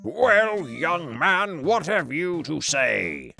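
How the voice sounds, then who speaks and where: Stern storybook judge, played by a male reader: curt, slow, deliberate pronouncements. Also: a little high, sing-song, gravelly, pushed and bright, soft background music, pitched above the performer's own voice, heavily acted.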